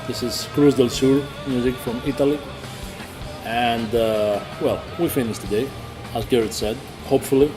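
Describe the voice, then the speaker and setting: Give me a man from a band who talks through his band's plans in an interview, speaking halting English padded with fillers. His voice sits at a mid pitch, and clear music runs underneath him the whole time. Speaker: band member. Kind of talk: casual interview chat.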